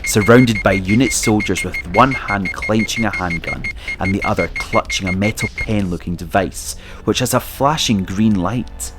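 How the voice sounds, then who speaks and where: A man's voice: lowish voice, normal speed, few pauses, music in the background. A man reading scripted narration as the narrator of an audio drama.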